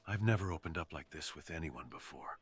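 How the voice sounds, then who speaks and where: A man voicing a brooding, seductive fox assassin in an intimate ASMR roleplay, speaking slowly and earnestly with dramatic pauses. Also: rumbling low, expressive, slightly rough, exaggerated voice. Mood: confiding, tipsy. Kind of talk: ASMR roleplay, slow intimate scripted monologue.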